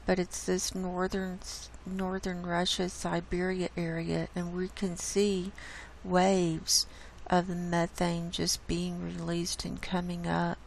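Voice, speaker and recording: A woman speaks in a hoarse, low-pitched voice. She keeps her tone flat and her pace slow, walking viewers through charts on her screen in a casual, unhurried way. A faint hiss sits behind her. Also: low-quality recording. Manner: unhurried, explains data on screen. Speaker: channel host presenting climate data. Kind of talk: casual screen-share presentation.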